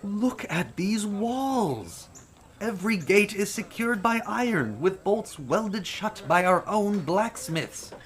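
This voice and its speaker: An actor playing a haughty, exuberant, self-deluded prince in a theatrical, declamatory audio drama style. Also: male voice, high-pitched, big pitch swings, slow, exaggerated voice. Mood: smug. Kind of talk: full-cast audio drama acting.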